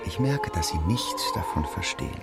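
A suave, flattering Regency gentleman in a radio play. His deep, lively voice teases with ornate, emphatic phrasing. Loud music plays behind him. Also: normal speed, vocal fry, full character voice. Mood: teasing admiration. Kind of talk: German radio drama dialogue.

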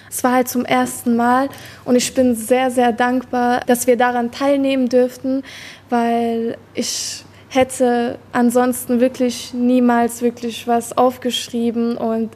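A woman's voice: fairly high pitch, a little mellow. A seventeen-year-old young woman, a student, giving a hesitant, earnest spontaneous account of her own experience. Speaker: student workshop participant.

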